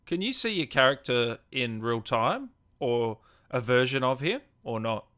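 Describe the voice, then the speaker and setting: Man, mid-pitched, bright forceful voice, informal host, inquisitive, reactive, casual interview. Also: very animated, a little slow, smooth. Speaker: interviewer, gaming show host.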